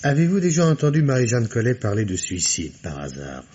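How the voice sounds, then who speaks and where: A man's voice: mid-range voice, huge pitch swings, fairly quick, warm tone, breathy edge. An actor playing a courteous, probing male police commissioner in a French radio mystery, questioning politely and patiently. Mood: formal, probing. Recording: dull lo-fi radio recording.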